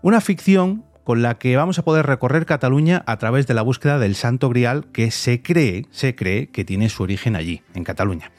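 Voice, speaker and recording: A man's voice: medium pitch, expressive, moderate pace, few pauses, darkish tone, no room sound. Middle-aged Spanish man hosting a podcast, close dry sound. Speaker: podcast host. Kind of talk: conversational podcast presenting and interviewing.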